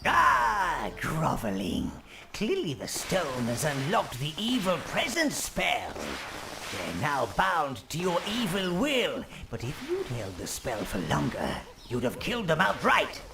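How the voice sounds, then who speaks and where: An actor playing an elderly, servile minion adviser in a video game, dryly sinister and theatrical. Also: male voice, on the high side, huge pitch swings, slow pace, creaky, music in the background, exaggerated voice. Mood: gleeful, scheming. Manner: obsequious, theatrical, dry understatement. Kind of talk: scripted video game character acting.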